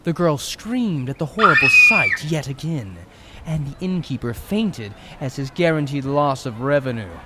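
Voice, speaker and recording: A man's voice: fairly high pitch, expressive, moderate pace, shouting, heavy background noise. A man giving dramatic scripted narration of a story.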